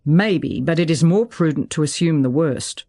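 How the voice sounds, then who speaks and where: A narrator voicing a tense, self-controlled male politician in uniform, cautious and measured. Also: mid-range voice, vocal fry, dark tone, no room sound. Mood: guarded.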